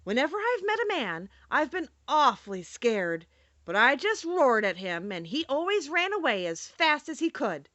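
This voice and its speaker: A great male lion who admits he is a coward, plaintive and full of sorrow, lifting his voice high whenever he frets. A woman voices him while reading the tale aloud, with a bright, dry, close sound. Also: big pitch swings, normal speed, no echo, highs cut off, heavily acted. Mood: mournful. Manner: plaintive, self-pitying storybook telling. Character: timid, sorrowful male lion, big as a horse. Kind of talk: audiobook character reading.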